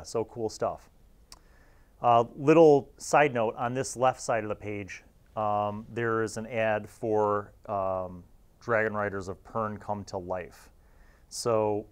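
Middle-aged man, fairly low voice, casual reviewer, reflective, rambling commentary. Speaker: video host and reviewer.

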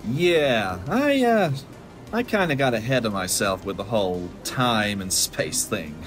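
A man's voice: mid-range voice, very animated, unhurried, faint music behind. A man playing a laid-back, sheepish space rogue in an audio drama, with a casual, hesitant drawl. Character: laid-back roguish male space hero, sheepish charmer.

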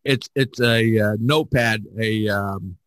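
A middle-aged man chatting casually as a podcast host, rambling and halting as he searches for words. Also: fairly low pitch, fairly bright tone, no room sound, studio quality. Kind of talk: casual chat.

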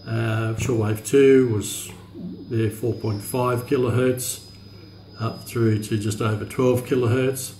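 A man giving a casual, unscripted product demonstration, halting and hesitant as he thinks aloud. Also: mid-range voice, fairly even intonation, mellow. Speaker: radio reviewer. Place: indoor room in a home. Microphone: handheld phone camera. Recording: roomy phone recording with background noise.

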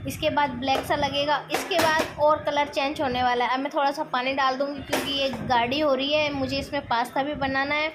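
A woman's voice: sits high, little pitch movement, a little fast, few pauses. A woman with a sore, scratchy throat, chatting through a cooking demonstration in her home kitchen and explaining each step. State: sore throat, clearing it often. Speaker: cooking vlogger. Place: home kitchen at a gas stove.

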